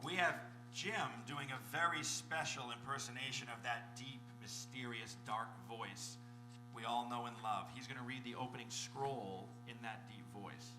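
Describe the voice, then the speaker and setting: A man's voice: medium pitch, moderate pace. A man moderating a live convention panel, introducing things informally to an audience. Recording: echoey convention hall, loud music behind.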